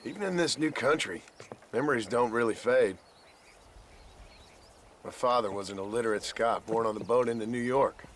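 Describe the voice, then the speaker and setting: A man's voice: medium pitch, normal speed, pauses often, a bit bright, slight background noise, natural voice. A man playing a weary, guarded former outlaw with an American accent, in a dry, courteous, understated manner for a western video game. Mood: somber, reflective. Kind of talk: video game character acting.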